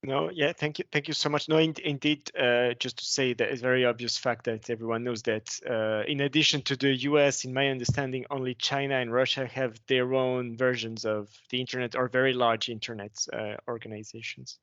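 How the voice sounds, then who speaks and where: A man's voice: mid-range voice, fairly even intonation, a little fast, few pauses, a bit bright, no echo, dull audio. A man chairing a conference Q&A session, hesitant with many fillers.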